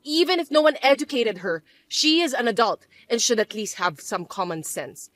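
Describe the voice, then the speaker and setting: A woman's voice: on the high side, expressive, moderate pace, a bit bright, no echo. A woman voicing a bossy, scornful older mother-in-law who scolds and accuses in an indignant, whiny way. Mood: complaining. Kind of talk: dramatized story voice acting.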